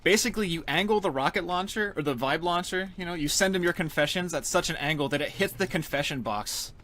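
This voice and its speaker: A man's voice: high-pitched, quick pace, a bit bright. A man giving casual, tongue-in-cheek live commentary as he speedruns a video game. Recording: clear background noise from game sounds. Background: game sounds and clicks.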